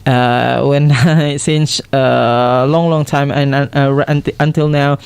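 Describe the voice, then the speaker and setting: Smooth, dry-voiced Indonesian-accented man. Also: medium pitch, steady tone, slow, dry recording. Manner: hesitant, frequent uh fillers. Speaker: guest, English club member. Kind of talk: radio talk show guest.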